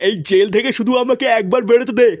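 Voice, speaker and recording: A man's voice: very high-pitched, fairly even intonation, fast talker, noisy background. A man voicing a foul-mouthed, boastful, hot-tempered thug in a comic cartoon dub, belligerent and threatening. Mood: angry, demanding.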